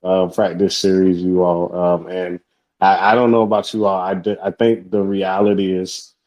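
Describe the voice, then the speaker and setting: Deep-voiced man closing a group chat. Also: flat delivery, moderate pace, a little mellow, poor audio. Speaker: co-host. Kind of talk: casual support group talk.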